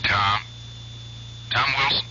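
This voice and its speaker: An actor playing a curt, menacing, mysterious caller in a radio drama. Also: male voice, mid-range voice, slow, sharp tone. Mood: cold, curt. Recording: dull hissy old radio recording.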